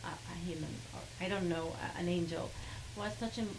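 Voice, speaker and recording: A woman with an Arabic accent who speaks haltingly, with many fillers, and laughs between sentences as she tells a personal story. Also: fairly low pitch, gravelly, background noise. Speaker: guest sharing a testimony.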